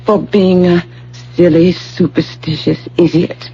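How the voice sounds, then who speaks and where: A woman's voice: on the low side, level tone, unhurried, warm tone, slightly rough, full character voice. An actress playing a headstrong, ambitious young female graduate student in an old-fashioned radio drama, emphatic and self-assured. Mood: pleading, eager. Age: young adult. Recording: dull, hissy old radio broadcast with hum.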